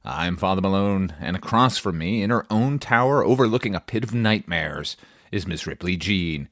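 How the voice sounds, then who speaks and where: A man's voice: deep voice, expressive, normal speed, a bit bright, no room sound, studio quality. A man hosting a movie podcast in a playful, theatrical scripted monologue. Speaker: podcast host.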